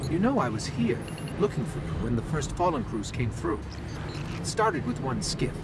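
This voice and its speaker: A man's voice: medium pitch, big pitch swings, normal speed, very noisy. A man voicing a small, earnest and inquisitive robot companion in a video game, chatty and slightly wry. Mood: grave, reflective. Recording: loud game music behind the voice. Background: loud music and game sound.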